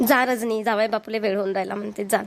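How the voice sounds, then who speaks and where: A woman playing a gentle, soothing middle-aged mother in a cartoon, with a Vidarbha Marathi accent. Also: mid-range voice, steady tone, fast, lightly acted. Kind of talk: amateur cartoon voice acting.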